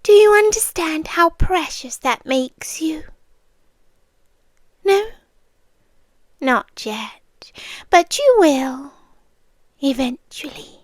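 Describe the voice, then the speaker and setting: A woman's voice: sits high, animated, extremely slow, long pauses, dry recording, heavily acted. A British woman voicing a centuries-old vampire countess in a girlfriend ASMR roleplay, intimate and theatrical, possessive and adoring, often slipping into whispers. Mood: menacing, devoted.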